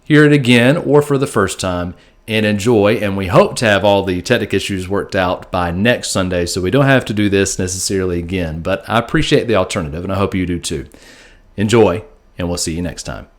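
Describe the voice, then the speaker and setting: A man speaking as a pastor, giving a casual, informal and somewhat halting introduction to a recorded message. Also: low-pitched.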